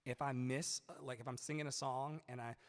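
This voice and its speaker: Brief: male vocal coach on a live stream, boomy baritone-tenor, loose and chatty, mid-pitched, long reverb tail on the mic. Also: fairly even intonation, brisk. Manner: rambling, chatty, profane, sings snatches mid-talk. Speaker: singer and live-stream singing teacher. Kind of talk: casual live-stream talk with sung demos. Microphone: studio mic with added reverb.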